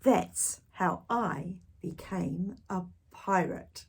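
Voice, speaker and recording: A woman's voice: lowish voice, drawn-out words, gravelly, breathy edge. A woman hosting a children's storytime, reading a picture book expressively with wondering asides to young listeners. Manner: animated, gasping and hushed wondering asides.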